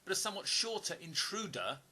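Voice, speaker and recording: A man acting in an audio play as an observant stranger with a hidden past, speaking in an earnest, deliberate, sometimes hesitant way. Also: high voice, sing-song, pushed and bright, breathy edge, heavily acted. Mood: challenging.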